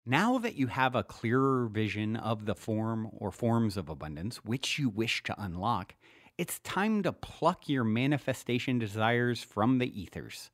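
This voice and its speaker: A man narrates a self-help audiobook like a guide leading an exercise. His pitch sits a little low and moves expressively through each phrase. The delivery is measured and instructional, recorded very dry. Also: big pitch swings, no room sound. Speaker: podcast host reading his own self-help book.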